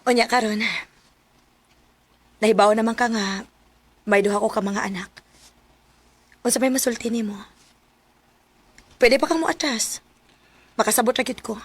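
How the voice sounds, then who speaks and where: A caring single mother, with a Cebuano Filipino accent and a fairly high voice. She is hesitant and questioning, in radio drama style. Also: normal speed, long pauses, slightly rough, no room sound. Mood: serious, hesitant. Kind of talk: Philippine radio drama acting.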